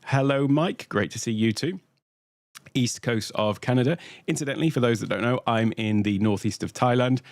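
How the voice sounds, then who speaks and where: A middle-aged British man from the UK hosting a live online tech workshop from his home studio, talking in a relaxed, conversational way with lots of "uh" fillers. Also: medium pitch, normal speed, no room sound. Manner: relaxed, conversational, many "uh" fillers, greets chat viewers. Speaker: workshop host and tech presenter.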